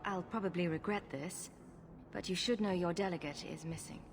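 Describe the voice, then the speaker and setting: A woman's voice: on the low side, moderate pace, slight background noise, natural voice. A woman playing a wry, caring and self-assured heroine in a video game, with a dry delivery. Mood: wry, firm.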